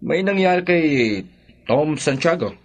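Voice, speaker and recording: Formal Filipino policeman, highish voice. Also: measured pace, slight background noise. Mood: grave, official. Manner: formal, respectful, hesitant. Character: polite, sober police sergeant bearing bad news. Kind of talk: radio drama acting.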